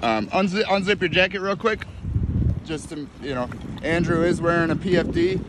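A man's voice: a little high, expressive, moderate pace. A man casually interviewing others as a vlog host, speaking from behind the camera. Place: outdoors on a lake in a kayak. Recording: windy outdoor recording with water noise.

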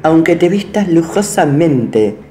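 A woman's voice: deep voice, big pitch swings, slow, warm tone, roomy sound, faint music behind, heavily acted. A woman with a Rioplatense accent playing a bitter, defiant older woman in a radio play, speaking in a mocking, sneering way. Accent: Rioplatense Spanish.

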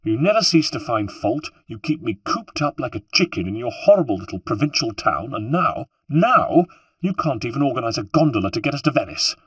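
A man's voice: medium pitch, sing-song, moderate pace, husky, no room sound. A man voicing a spirited, imperious younger noblewoman in a storybook, scolding and commanding. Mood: scornful, furious.

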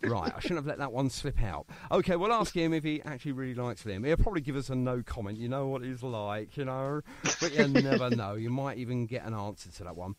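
A man's voice: medium pitch, expressive, fairly quick, hardly any pauses. A man hosting a radio show with a British accent, chatting casually and jokily with a guest, rambling with lots of fillers. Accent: British English. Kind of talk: casual radio interview chat.

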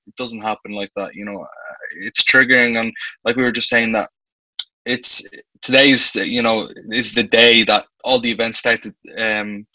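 A man's voice: mid-range voice, sharp tone, no room sound, low-quality recording. A man in his twenties with a Dublin Irish accent, talking earnestly as a guest on a video call, often restarting his sentences. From Ireland. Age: 20s. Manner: earnest, with frequent restarts and "you know". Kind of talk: reflective conversational interview. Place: indoor room with light walls. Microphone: video call webcam.

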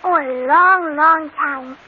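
A woman's voice: high-pitched, slow. A woman playing a curious, innocent, chatty little girl in an old radio drama. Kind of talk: 1940s radio acting. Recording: muffled, hissy old radio broadcast.

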